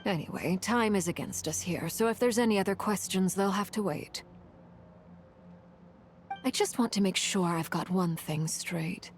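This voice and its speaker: A woman playing a composed, commanding harbinger of cursed fire in a video game, speaking in a measured, solemn, formal way. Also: medium pitch, a little hiss or hum, lightly acted. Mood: businesslike. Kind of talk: dramatic video game voice acting.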